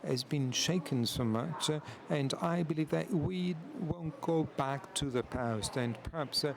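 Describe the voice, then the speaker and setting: A man giving live interpretation at a conference, halting and full of filler sounds. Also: a little high, vocal fry, background noise. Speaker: simultaneous interpreter.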